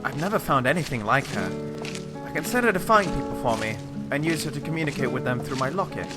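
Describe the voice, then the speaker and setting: A man voicing a protective, knowledgeable adventurer companion in a video game, explaining things in a measured way. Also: mid-range voice, expressive, over loud music, natural voice. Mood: firm, explaining.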